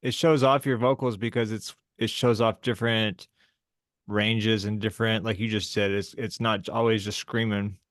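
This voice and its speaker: A man's voice: on the low side, flat delivery, normal speed, no room sound, studio quality. A man hosting a podcast, chatting in an easygoing, encouraging way. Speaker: podcast host. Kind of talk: casual chat.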